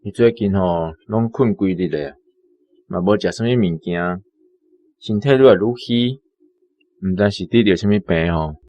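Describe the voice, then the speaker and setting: A man's voice: low voice, normal speed, a little mellow, natural voice. An actor with a Taiwanese accent playing a concerned man who talks about an ailing elder in a radio drama. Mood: worried.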